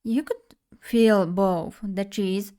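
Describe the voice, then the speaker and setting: A woman's voice: mid-range voice, fairly even intonation, slow, mellow. A young woman from Poland, chatting bubbly and directly to her live chat as a streamer at a desk mic. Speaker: vtuber streamer.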